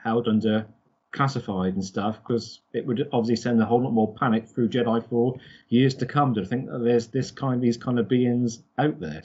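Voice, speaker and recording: A man hosting a casual fan podcast in a hesitant, halting way, on a dull lo-fi recording. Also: mid-range voice, a little mellow, husky. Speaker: podcast host. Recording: dull lo-fi audio.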